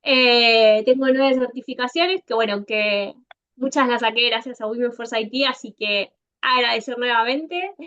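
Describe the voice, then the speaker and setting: A woman's voice: on the high side, a little slow, fairly bright tone, muffled recording. A woman from Argentina with an Argentine accent, giving a conference talk as a consultant in a conversational way, with frequent "eh" fillers. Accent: Argentine Spanish. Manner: conversational, many "eh" fillers, addresses the audience directly. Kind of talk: online conference presentation.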